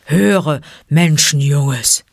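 A woman's voice: sits low, very slow, creaky, slightly rough, dry recording, clean studio sound, full character voice. A woman voicing an old male bear who teaches the jungle laws, stern, emphatic and lecturing. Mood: scolding.

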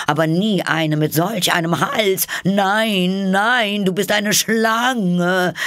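A man's voice: high-pitched, fairly bright tone, no echo, clean studio sound, voice pushed up. A man voicing a frantic, scolding female pigeon in a storybook, with a screeching, aggrieved delivery. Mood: scornful. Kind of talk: storybook character voice.

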